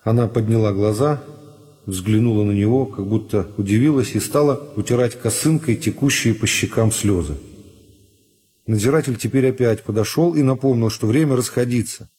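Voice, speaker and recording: Mellow male literary narrator. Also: on the low side, moderate pace, slightly rough. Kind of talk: scripted literary narration, radio drama.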